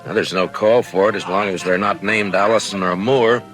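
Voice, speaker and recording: Male actor as honest frontier lawman, low forceful voice, laconic, gruff, probing, 1950s radio drama. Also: steady tone, moderate pace, soft background music, natural voice. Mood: businesslike. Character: stern but conflicted, honest frontier lawman. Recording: vintage radio recording.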